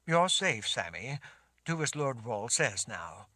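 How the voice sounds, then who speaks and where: A man voicing a calm woman who soothes and urges a frightened girl. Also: mid-range voice, slow pace, no room sound, studio quality, exaggerated voice. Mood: soothing. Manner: soothing, urging. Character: calm, reassuring woman elder.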